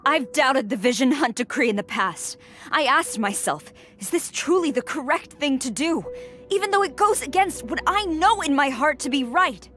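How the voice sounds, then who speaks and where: A woman's voice: sits high, lively intonation, moderate pace, fairly bright tone. An actress playing an earnest, dutiful young warrior woman in a video game drama, speaking with solemn, formal intensity. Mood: resolute.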